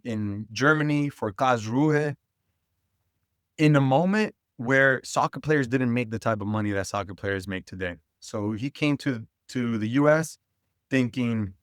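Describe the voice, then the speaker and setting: A man's voice: mid-range voice, a bit bright, no echo, studio quality. A man from Southern California telling personal stories as an interview guest, in an informal and earnest conversational way. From Santa Ana, California. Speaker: interview guest, youth soccer advocate.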